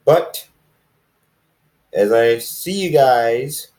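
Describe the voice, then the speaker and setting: A man reading amateur fan fiction and chatting to viewers in a halting, self-deprecating, rambling way. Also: mid-range voice, expressive, darkish tone. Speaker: fan fiction reader, video host. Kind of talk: amateur story reading and casual vlog chat. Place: dark room, in front of camera. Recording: roomy echo, distant mic.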